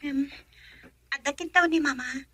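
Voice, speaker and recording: A woman's voice: high-pitched, unhurried, bright, forceful tone, no echo, clean studio sound. A woman playing a timid, worried college-age girlfriend in an Ilocano radio drama.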